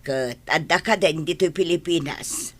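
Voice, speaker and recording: A woman playing a fretful, affectionate older mother in a radio drama, husky and exclamatory, with sighs. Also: sits low, measured pace. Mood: concerned.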